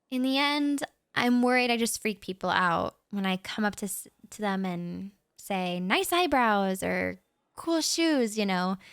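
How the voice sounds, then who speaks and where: A woman's voice: a little high, a bit bright, smooth, dry recording, clean studio sound, full character voice. A woman playing a shy, anxious young writer who rambles nervously with stammers and little laughs, talking straight to the listener in a roleplay audio.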